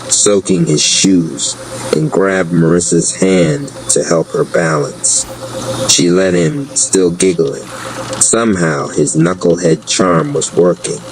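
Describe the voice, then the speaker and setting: A man's voice: low voice, slow pace, background noise. A man reading a scripted story as its narrator.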